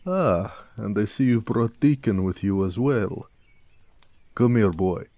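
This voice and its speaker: A man playing a gentle, affectionate orc blacksmith in a romantic audio roleplay, speaking tenderly with some teasing. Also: lowish voice, takes it slowly, slight background noise. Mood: fond, teasing.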